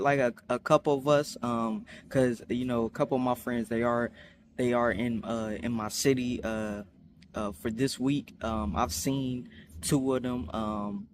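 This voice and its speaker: A young man chatting casually in a storytime vlog, rambling with many fillers and restarts, acting out quotes in higher voices. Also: slight background noise. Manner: acts out quotes with high voices. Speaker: vlogger.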